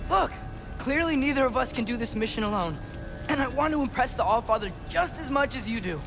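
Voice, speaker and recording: A man's voice: extremely high pitch, expressive, brisk, creaky, over music, exaggerated voice. A young actor playing an earnest, plucky, slightly awkward teenage boy in a video game. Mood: pleading. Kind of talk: video game cinematic acting.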